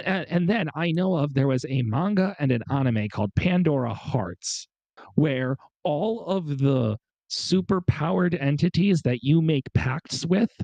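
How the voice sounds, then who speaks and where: A man co-hosting a casual chat, talking excitedly and emphatically, repeating himself, a bit congested from a cold. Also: fairly high pitch, dry recording. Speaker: podcast co-host. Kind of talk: animated casual chat about pop culture.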